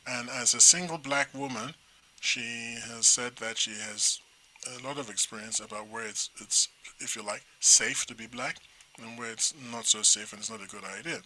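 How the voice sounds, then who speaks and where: A middle-aged man from Nigeria co-hosting a talk show, chatting in a rambling, animated way with many restarts. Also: mid-range voice, moderate pace, rough recording. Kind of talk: casual chat.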